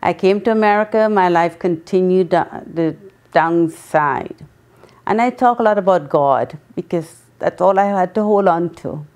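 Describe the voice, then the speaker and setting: A woman in her sixties from Guyana, answering interview questions earnestly, pausing often with ums. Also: lowish voice, darkish tone. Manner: earnest, with many pauses and ums. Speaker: guest, memoir author.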